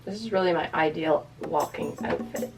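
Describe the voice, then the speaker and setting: A young woman with a raspy voice, rambling casually and self-deprecatingly to the camera as a lifestyle vlogger. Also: low-pitched, moderate pace.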